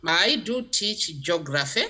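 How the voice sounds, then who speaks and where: A woman's voice: on the low side, takes it slowly. A woman from Malawi, a geography teacher giving emphatic interview answers. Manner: emphatic, animated explaining.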